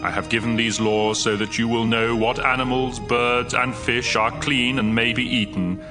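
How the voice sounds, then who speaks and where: A man playing a solemn God, declaring scripture in a measured way over music. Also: medium pitch, fairly even intonation, natural voice. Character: God declaring holiness, solemn male deity. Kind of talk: dramatized scripture reading.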